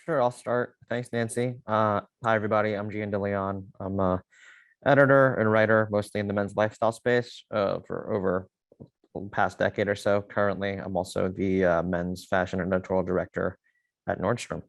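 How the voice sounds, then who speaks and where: An editor and writer, a man, introducing himself in a brisk, matter-of-fact way. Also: on the low side, little pitch movement, gravelly, no room sound. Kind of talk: self-introduction.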